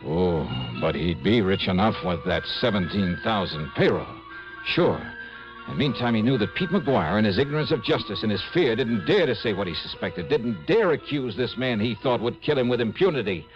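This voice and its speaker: A shrewd, tough American investigator played by a man in a vintage radio noir. His low voice swings widely between wry narration and hard urgency. The old recording is dull, with music clearly behind it. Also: expressive, moderate pace, natural voice. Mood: brooding, deducing.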